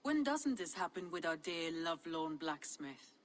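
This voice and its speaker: A woman playing a composed, wise Norse adviser in a video game, speaking in a measured, gently wry way. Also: on the low side, very animated, slight background noise. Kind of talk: dramatic video game acting.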